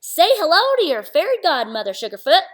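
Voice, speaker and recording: A no-nonsense old cowgirl fairy godmother, put on by a woman reading a storybook aloud, barking orders in a folksy Western drawl. Her voice rides high and swings all over the place, loud and bossy. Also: big pitch swings, a little fast.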